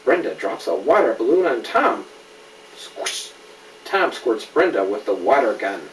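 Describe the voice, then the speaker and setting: A middle-aged man reading a children's story aloud in an animated way, with conversational questions to a young listener. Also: mid-range voice, a little slow. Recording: roomy, distant home recording with noise.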